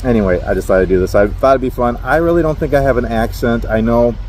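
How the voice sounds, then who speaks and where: A man's voice: mid-range voice, fast talker, a little mellow, a little hiss or hum. Rambling middle-aged Minnesotan man. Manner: rambling, self-deprecating, chuckles often. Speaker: truck driver vlogger. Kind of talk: casual talking-to-camera vlog. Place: parked truck at a highway rest area.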